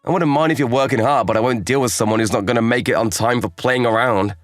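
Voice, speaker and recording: A man playing a calm, kindly young programmer and mentor in an anime-style manga dub, reassuring and easygoing. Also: medium pitch, fast, dry recording, clean studio sound, soft background music, lightly acted.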